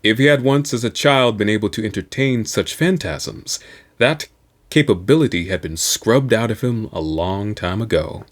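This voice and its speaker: A man reading a science fiction novel aloud as host, with a theatrical, deliberate delivery. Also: lowish voice.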